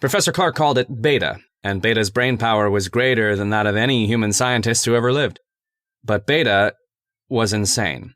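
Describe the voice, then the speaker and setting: Guy acting earnest lab assistant in an old radio horror play, formal deliberate explaining, dry close sound. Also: lowish voice, a bit bright, dry recording, lightly acted. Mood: measured, ominous. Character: earnest, persuasive male lab assistant to a dead scientist.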